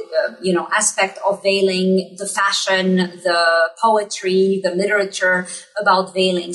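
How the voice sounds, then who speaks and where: A woman in her thirties from Egypt, an author answering interview questions in a measured way with many ums and restarts. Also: mid-range voice, a bit bright, far from the mic, poor audio. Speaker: author guest. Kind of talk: academic podcast interview.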